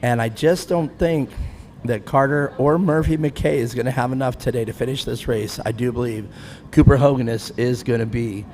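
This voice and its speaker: A man's voice: medium pitch, fairly even intonation, normal speed, a little mellow. Fifties guy doing race announcing, chatty, jokes on himself, bit of background noise. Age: 50s. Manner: chatty, self-deprecating, laughs often. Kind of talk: live race commentary. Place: grandstand at an outdoor race track.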